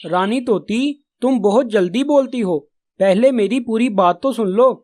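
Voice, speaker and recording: A man's voice: high-pitched, measured pace, a little mellow. Children's cartoon pigeon , male actor: responsible elder brother of the flock, earnest, caring, explanatory. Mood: earnest, firm. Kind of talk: Hindi children's cartoon dialogue.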